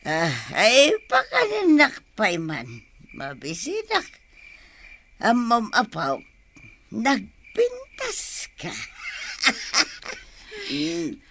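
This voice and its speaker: A boisterous old Ilocano grandmother, drunk and drawling at a very slow pace. Her high voice leaps all over the range in broad comedy radio drama style, with a loud, exclaiming manner and Ilocano accent. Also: wildly swooping pitch, creaky, exaggerated voice. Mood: tipsy, bossy. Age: older. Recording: background noise and ambience.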